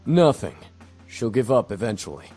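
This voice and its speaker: An actor voicing a blunt, protective, crude young man in a visual novel game, with a husky voice. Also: fairly low pitch, very animated, moderate pace, mellow, faint music behind. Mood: scornful, dismissive.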